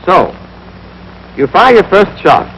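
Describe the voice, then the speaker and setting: A man's voice: high-pitched, sing-song, slow pace, creaky, fairly bright tone. A young American man giving scripted, clipped, step-by-step marksmanship instruction as an army instructor in a 1940s training film. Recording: noisy 1940s film soundtrack.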